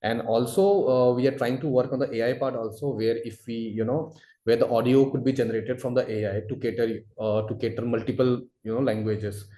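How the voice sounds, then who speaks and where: A man answering webinar questions as a product expert, explaining in a halting, wordy way full of verbal fillers. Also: medium pitch, fairly even intonation, mellow. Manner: halting, wordy, repeats filler phrases "you know". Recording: roomy, echoey webinar audio.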